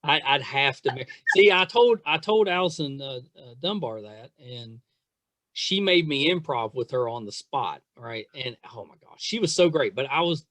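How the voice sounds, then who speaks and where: A man's voice: medium pitch, lively intonation, normal speed, a bit bright, no echo. A middle-aged man hosting a casual chat interview, gushing and repeating himself for emphasis. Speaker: podcast host interviewing an actress.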